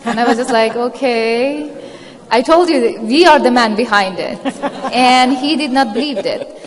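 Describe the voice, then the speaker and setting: A woman's voice: a little high, a little slow. A woman journalist whose first language is Dari, speaking with an Afghan accent, earnest and reflective on a panel. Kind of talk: panel discussion. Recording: reverberant hall.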